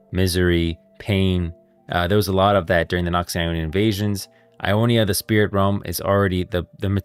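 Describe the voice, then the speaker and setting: A man's voice: low-pitched, clean tone. A man giving casual, opinionated live commentary on game lore as a streamer, often repeating and rephrasing his points.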